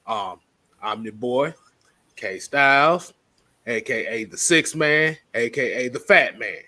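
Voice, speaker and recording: A man's voice: medium pitch, animated, slow, bright, forceful tone, dry recording. A man co-hosting a casual live sports talk stream, joking and reading out viewer names in a loose way. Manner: loose, joking, laughs a lot. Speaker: co-host of a sports talk show.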